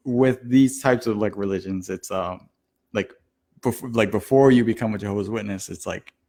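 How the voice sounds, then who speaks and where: Low-voiced casual man around thirty. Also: moderate pace, warm tone. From born in Colombia, moved to the US at nine. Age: 30s. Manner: heavy use of "like", loose and informal. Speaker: podcast guest sharing a personal testimony. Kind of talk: casual conversational storytelling.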